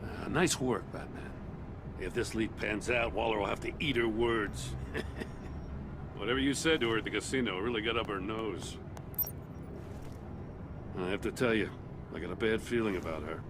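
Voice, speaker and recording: Man playing a weary low-voiced police chief. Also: moderate pace, pauses often, close to an everyday voice. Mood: gruff. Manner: world-weary, plain-spoken. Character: weary, principled middle-aged male police chief. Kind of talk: video game voice acting. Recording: outdoor city noise behind voice.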